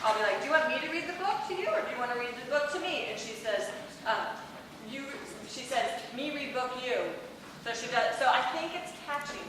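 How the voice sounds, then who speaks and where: Lady host at a comedy night, chatty, high voice, far off in a boomy hall. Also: animated, a little fast, background noise. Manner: chatty, anecdotal. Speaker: show host. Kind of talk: casual stage patter. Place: small theater stage. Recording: echoey hall from far off, with crowd.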